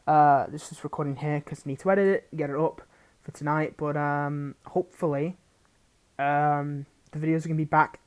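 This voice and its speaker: Low-pitched woman, rambling casually. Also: steady tone, normal speed, a little mellow, smooth. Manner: rambling, hesitant, self-interrupting. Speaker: roleplay audio creator, channel host. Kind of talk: casual unscripted update to listeners.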